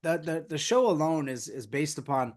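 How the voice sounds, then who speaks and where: A man in his forties with a slightly higher voice, hosting a casual podcast. He talks loosely, packing his sentences with fillers. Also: expressive, normal speed. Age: 40s. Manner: loose, filler-heavy talk.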